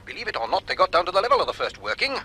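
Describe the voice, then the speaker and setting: An actor playing an excitable, blustering university lecturer in a radio drama, speaking emphatically. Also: male voice, sits high, rapid-fire, husky, heavily acted. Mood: exasperated.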